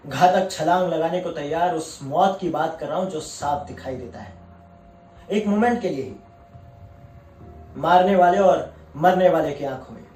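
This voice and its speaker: A male actor playing a proud, merciless war-veteran colonel in a stage monologue, grave and deliberate, drawing out key phrases for emphasis. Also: a little high, a little mellow, close to an everyday voice. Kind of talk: dramatic theatrical Hindi monologue. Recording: echoey room, distant mic, faint music.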